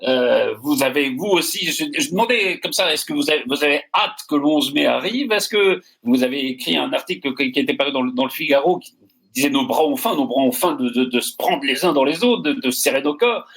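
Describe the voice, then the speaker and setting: French guy hosting a panel chat, kinda high voice, stumbles and repeats words. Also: expressive, a little fast, creaky, a bit bright, slightly rough. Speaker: host. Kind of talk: panel discussion by video call.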